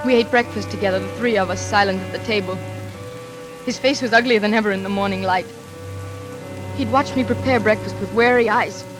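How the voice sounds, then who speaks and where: An actress playing a frightened, dutiful young daughter who narrates a 1940s radio thriller in a tense, earnest, measured way. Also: female voice, medium pitch, over loud music, natural voice. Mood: dread. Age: young adult.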